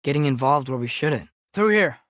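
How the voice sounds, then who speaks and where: A man's voice: medium pitch, lively intonation, normal speed, a bit bright, no room sound, studio quality, natural voice. Earnest American teenage boy adventurer, acted by a young man. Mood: curious, concerned. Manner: earnest, questioning, youthful casual phrasing. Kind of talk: naturalistic video game character acting.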